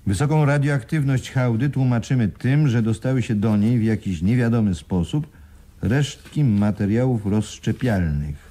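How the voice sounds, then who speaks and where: A man acting in a Polish radio play as an uneasy businessman, speaking in a formal, measured, articulate way. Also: medium pitch, mellow, natural voice. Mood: formal, official. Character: uneasy businessman reading an expert report aloud.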